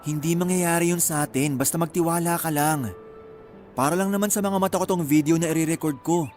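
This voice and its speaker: A man's voice: fairly high pitch, steady tone, brisk, a little mellow, soft background music, natural voice. A man with a Filipino accent playing a manipulative, hot-tempered young boyfriend in a radio drama. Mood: coaxing.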